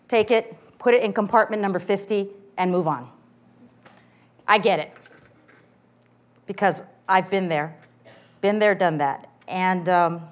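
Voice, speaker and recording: A woman in her forties with a loud voice, giving a lively, joking inspirational talk to a women's morning gathering. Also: mid-range voice. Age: 40s. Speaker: guest speaker.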